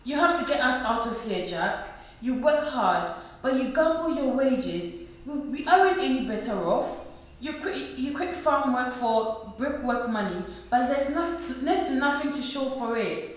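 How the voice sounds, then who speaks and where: A woman's voice: high-pitched, expressive, measured pace. A woman playing a worried, poor wife and mother in a radio play, earnest and reproachful. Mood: pleading. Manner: earnest, reproachful, repeating her husband's name. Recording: very echoey room.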